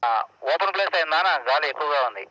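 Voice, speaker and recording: A man's voice: fairly high pitch, animated, very fast, sharp tone. A man with a Telugu accent chatting casually, heard through a walkie-talkie radio. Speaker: reviewer's younger brother. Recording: crackly walkie-talkie speaker.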